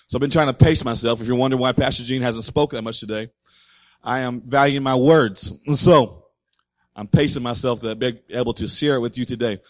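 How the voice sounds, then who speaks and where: A man preaching a sermon as pastor, slightly hoarse, speaking loudly and emphatically and calling out to the congregation. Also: mid-range voice, fairly even intonation, fairly quick, no echo. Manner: loud, emphatic preaching with audience call-outs. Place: church.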